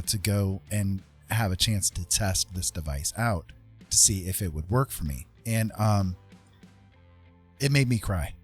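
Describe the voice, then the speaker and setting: A man hosting a livestream, chatting casually and telling personal stories in a rambling, informal way. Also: on the low side. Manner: rambling, informal, frequent "like" and "you know". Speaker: livestream host. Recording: soft music behind the voice.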